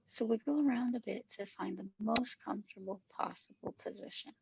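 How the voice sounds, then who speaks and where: A woman narrating a guided meditation with soothing, measured instructions. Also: mid-range voice, fairly even intonation, gravelly, lo-fi sound, heavy background noise.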